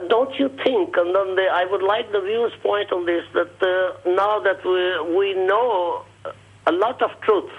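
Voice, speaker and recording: A male caller speaking haltingly, with frequent pauses. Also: high voice, husky. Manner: halting, hesitant. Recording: distant muffled phone line.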